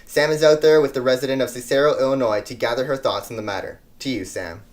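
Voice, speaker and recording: An earnest news anchor of the 1920s, played by a man, delivers a scripted report in a formal announcer's manner. His voice sits at a medium pitch. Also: fairly even intonation, fast, natural voice.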